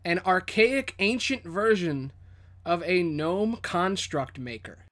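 Higher-voiced man running a tabletop game. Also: expressive, slow pace, a bit bright. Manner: casual, profane, descriptive storytelling. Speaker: game master. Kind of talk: narrating a tabletop game, casual.

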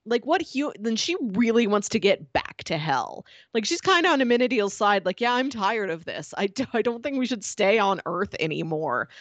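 A woman's voice: mid-range voice, very animated, moderate pace, hardly any pauses, no echo. A woman podcast co-host chatting casually and informally about TV, with a giggly manner. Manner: informal, giggly, peppers talk with "like". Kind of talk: casual chat about a TV show.

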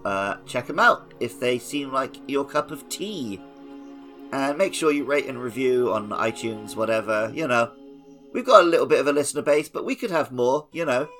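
A man's voice: medium pitch, expressive, moderate pace, a bit bright, soft background music. A young man co-hosting a casual gaming podcast, chatty and jokingly scolding his listeners. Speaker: podcast co-host.

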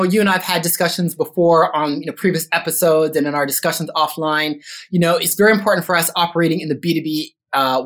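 A middle-aged Canadian man being interviewed on a podcast, talking in a measured way with frequent ums and restarts. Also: high-pitched, a bit bright. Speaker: podcast guest, business consultant. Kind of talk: casual interview chat.

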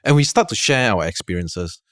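Animated, smooth-voiced man telling stories. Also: fairly low pitch, brisk, dry recording, studio quality. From Thailand. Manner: animated storytelling, acts out quoted people, many restarts. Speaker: guest film director. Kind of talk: casual podcast chat.

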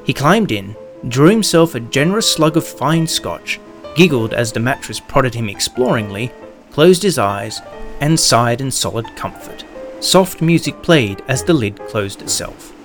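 A man's voice: mid-range voice, big pitch swings, unhurried. A man reading scripted narration as the narrator of an audio production. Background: background music.

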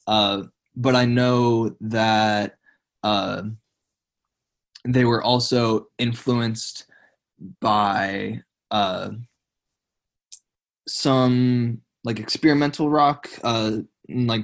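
A man casually discussing music in a conversation, speaking hesitantly with frequent fillers. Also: fairly low pitch. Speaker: album review co-host.

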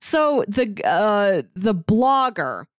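A woman's voice: medium pitch, very animated, extremely slow, dry recording. Casual woman chatting, close dry sound. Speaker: podcast co-host. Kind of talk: casual book discussion chat.

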